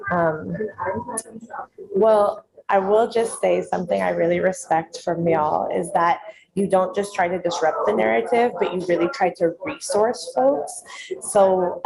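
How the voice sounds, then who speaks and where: A young woman interviewing in a casual, reflective, affirming way, with slangy wording and pauses mid-thought. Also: on the low side, gravelly, low-quality recording. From San Francisco Bay Area, United States.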